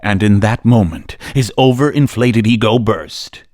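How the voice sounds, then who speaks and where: A man narrating a radio drama as a theatrical storyteller, with measured, dramatic phrasing. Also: fairly low pitch, very noisy.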